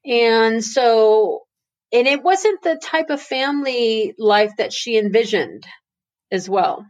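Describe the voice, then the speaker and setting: Woman w telling family stories, laughs a lot, restarts sentences, lively talker. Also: on the high side, takes it slowly, lo-fi sound. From Italy. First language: Italian. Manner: laughs often, frequent restarts. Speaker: interview guest. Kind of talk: casual storytelling.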